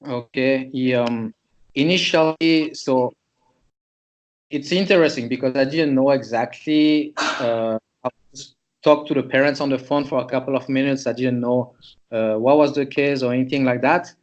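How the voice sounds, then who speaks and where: A man's voice: mid-range voice, steady tone, moderate pace, highs cut off. A man from Switzerland, a chiropractor, presents a patient case to students in a loose, conversational way, thinking aloud as he goes.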